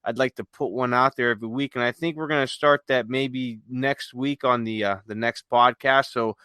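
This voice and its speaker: A man with a smooth, clear, mid-pitched voice talks as a podcast co-host. He is easygoing and informal, recorded close and dry. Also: fairly even intonation, moderate pace, bright, forceful tone, no room sound. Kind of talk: casual podcast talk.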